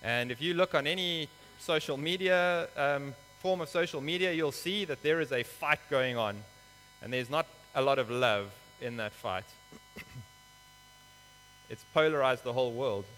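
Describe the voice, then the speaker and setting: A man's voice: medium pitch, measured pace, fairly bright tone. A man from Africa, preaching a sermon in a church in an earnest, explanatory way with some hesitant fillers. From South Africa. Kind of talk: sermon with scripture reading. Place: church hall. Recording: reverberant hall with mains hum.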